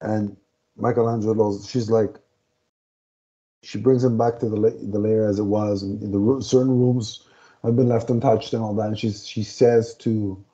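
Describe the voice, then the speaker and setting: A man in a home room rambles through a comic review, stopping often to hunt for the right word. His voice is very dark and mellow. It is captured through a headset on a dull, lo-fi video call. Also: on the low side, steady tone, normal speed. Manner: rambling, often searching for words. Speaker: podcast co-host. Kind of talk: casual comic review chat.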